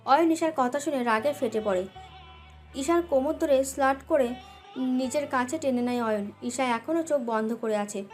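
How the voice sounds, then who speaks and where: A woman's voice: high voice, normal speed, darkish tone, faint music behind. A woman narrating a scripted dramatic love story as its storyteller.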